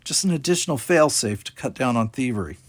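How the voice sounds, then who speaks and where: A man's voice: mid-range voice, animated, quick pace, lightly acted. A man voicing a cocky, cheerful teenage boy captain in a sci-fi storybook, talking in a breezy, glib way. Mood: reassuring, casual.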